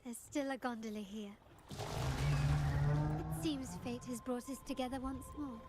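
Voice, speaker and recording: An actress playing a courteous, enigmatic young traveler in a fantasy game, with refined, formal phrasing. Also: female voice, on the high side, very animated, slow pace. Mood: poised, intrigued. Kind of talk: game voice acting. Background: game music.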